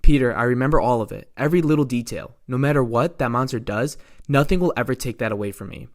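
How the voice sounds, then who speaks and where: A man voicing a hero's loving wife, a gentle and sensible woman, earnest and measured. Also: medium pitch, natural voice. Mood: tender, resigned.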